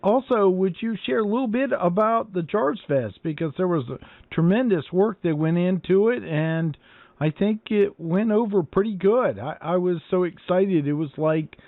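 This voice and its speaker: A man's voice: high voice, normal speed, no room sound. An enthusiastic man hosting an amateur radio net and handing over to other operators. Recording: muffled lo-fi internet radio link.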